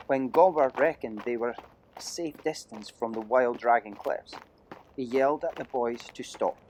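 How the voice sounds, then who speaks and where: A man's voice: a little high, a little mellow, slight background noise, rough recording. A man narrating an adventure audiobook as a dramatic, theatrical storyteller with emphatic delivery.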